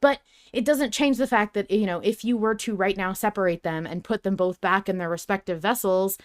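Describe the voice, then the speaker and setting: A woman's voice: medium pitch, fairly quick, no echo, clean studio sound. A woman co-hosting a podcast, chatting casually in an emphatic, opinionated, sweary way. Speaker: podcast co-host. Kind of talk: casual fan discussion chat.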